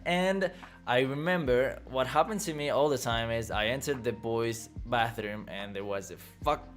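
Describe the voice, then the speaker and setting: A young man chats loosely on a livestream, answering viewers in a mid-pitched voice. He rambles, restarts and laughs often, dropping swear words casually. Faint music plays underneath. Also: moderate pace, fairly bright tone. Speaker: livestream host reading chat. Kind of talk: casual chat.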